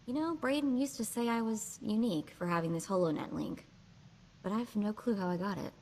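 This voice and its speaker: A woman's voice: mid-range voice, fairly quick, a little mellow, a little hiss or hum, close to an everyday voice, poor audio. A woman voicing a plucky, street-smart young slicer in a video game, wry and breezy, with clipped slangy talk.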